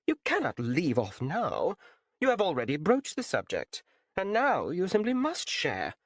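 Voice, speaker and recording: A man's voice: high-pitched, wildly swooping pitch, unhurried, no room sound, clean studio sound, pitched above the performer's own voice, heavily acted. A man doing a gossiping noble lady. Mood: coaxing. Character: gleeful gossiping noblewoman, older aunt.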